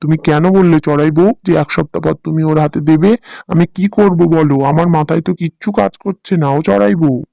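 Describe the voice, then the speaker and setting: A man's voice: on the high side, fairly even intonation, a little fast, gravelly, warm tone, no room sound, dull audio. A man voicing an anxious, fretful husband sparrow in a cartoon, speaking with worry. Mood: panicked. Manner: worried, fretting. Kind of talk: cartoon voice acting.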